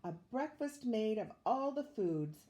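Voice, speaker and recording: An older woman reading a rhyming children's story aloud in an expressive, measured way. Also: on the high side. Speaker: storybook reader, retired teacher.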